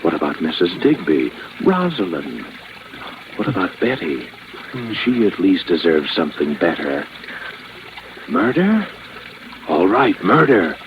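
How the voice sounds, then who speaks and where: A man's voice: low voice, very animated, muffled recording, noisy background. An actor playing a desperate, cold murderer scheming his perfect crime in a brooding, theatrical old radio drama monologue. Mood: frantic, murderous. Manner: theatrical, brooding, halting self-talk. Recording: band-limited old radio recording with music behind.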